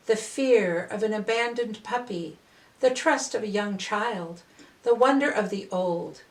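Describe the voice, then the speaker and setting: An older woman narrates a storybook in a mid-pitched voice. She takes her time, phrasing each part with deliberate care. The room adds a clear echo around her words. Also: recorded from a distance. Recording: roomy, echoing voice recording.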